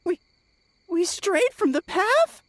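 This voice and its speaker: A woman voicing an excitable, naive young tanuki spirit in a video game, exclaiming like a child. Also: very high voice, slow, a little hiss or hum, heavily acted. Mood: bewildered.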